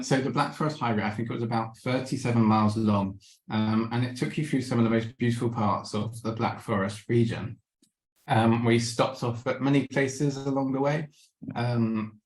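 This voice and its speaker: A man's voice: medium pitch, fairly even intonation, normal speed, roomy sound, poor audio. A man giving a slideshow travel talk on a video call, hesitant with many ums and restarts, and losing his voice. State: losing his voice, clearing throat. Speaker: webinar presenter.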